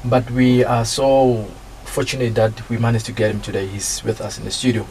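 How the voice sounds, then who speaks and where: A man hosting a community radio interview in a studio, speaking politely with many pauses and fillers. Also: medium pitch, little pitch movement, creaky, a little mellow, slight background noise, poor audio. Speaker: radio host.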